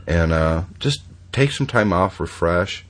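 Host, low man's voice, stuffy and congested, halting with lots of ums. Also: expressive. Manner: halting, many fillers and restarts. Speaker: podcast host. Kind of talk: casual podcast chat.

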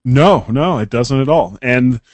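A man's voice: medium pitch, animated, measured pace, a little mellow, no echo. A podcast co-host talks in an easygoing, joking way, often hesitating and restarting his sentences. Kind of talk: casual tech podcast chat.

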